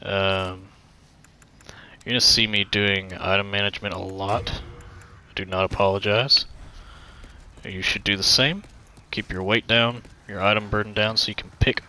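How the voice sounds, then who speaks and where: A man's voice: lowish voice, fairly even intonation, normal speed, bright, forceful tone. A man with a somewhat nasal voice giving laid-back, joking commentary on a game walkthrough through a headset mic that pops and cracks. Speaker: gaming channel host.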